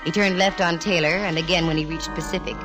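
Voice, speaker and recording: A woman's voice: lowish voice, fairly even intonation, fast talker, natural voice, poor audio. A woman acting as a breezy, wisecracking private eye in 1940s radio detective style, narrating in slangy first person. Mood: wry narrating. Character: wisecracking, plucky female private eye, breezy and self-assured. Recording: vintage 1940s radio recording with music.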